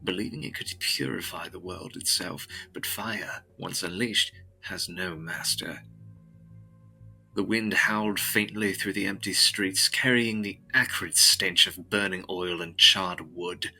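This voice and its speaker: A male narrator with a very low voice delivers scripted gothic fiction. His phrasing is deliberate, solemn and dramatic. Music plays clearly behind the narration. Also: bright, forceful tone, poor audio.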